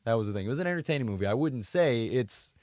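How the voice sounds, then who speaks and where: Male co-host, medium pitch, loose conversational delivery, very dry studio-like sound. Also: expressive, fast, darkish tone, no room sound. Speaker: podcast co-host. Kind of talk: casual movie discussion.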